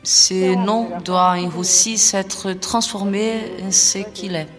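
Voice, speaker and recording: Woman reading answers live on stage, calm and plain, big hall echo. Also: medium pitch, slow, music in the background. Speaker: reader performing an interview. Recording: long reverb from a live hall.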